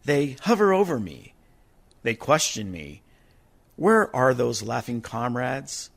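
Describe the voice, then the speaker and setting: A man's voice: mid-range voice, very animated, slow pace, creaky, exaggerated voice. A man acting a wistful dead villager dreaming of his youth, in a reflective, lyrical radio monologue. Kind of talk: dramatic verse monologue for radio.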